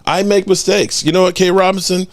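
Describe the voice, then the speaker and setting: A middle-aged man hosting a sports call-in show, animated and joking, swearing freely. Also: high-pitched, a little fast, vocal fry, no echo. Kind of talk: casual call-in show talk.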